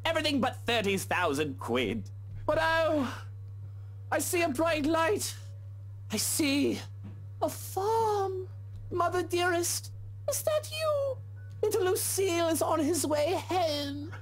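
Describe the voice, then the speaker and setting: A man's voice: very high-pitched, very animated, takes it slowly, faint music behind, full character voice. An actor playing a theatrical, hot-tempered rich man in a visual novel game. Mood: mock dying, whiny. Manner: theatrical, whiny, indignant.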